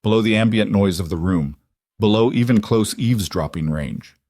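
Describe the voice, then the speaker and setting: A man reading scripted dramatic fiction as an audiobook narrator, measured and deliberate in short phrases. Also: on the low side, darkish tone, dry recording, clean studio sound.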